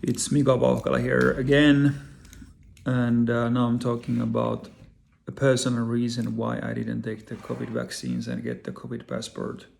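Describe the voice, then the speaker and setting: A man with a Finnish accent, his voice deeply gravelly and crackling at mid pitch. He speaks English as a second language, earnest and halting, often pausing on fillers and repeating words as he addresses his viewers directly. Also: monotone, darkish tone. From Finland. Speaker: vlogger. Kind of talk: personal direct-to-camera talk.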